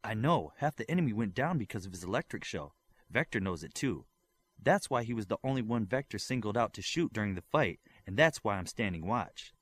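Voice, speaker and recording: A man's voice: on the low side, lively intonation, a little fast, fairly bright tone. A man reading as a firm, watchful young heroine. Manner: firm, matter-of-fact.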